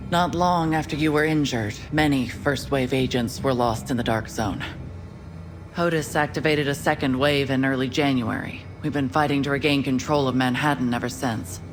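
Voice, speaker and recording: A woman playing a steady, candid agent and acting commander in a game cutscene, briefing in a matter-of-fact, blunt way. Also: extremely low pitch, normal speed, background noise. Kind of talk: scripted game cutscene dialogue. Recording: music behind the voice.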